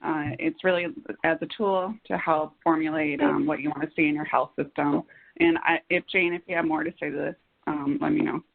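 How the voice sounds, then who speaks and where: A woman presenting slides on a conference call, speaking in halting, piecemeal phrases. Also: sits low, steady tone, creaky. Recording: dull, lo-fi conference call audio.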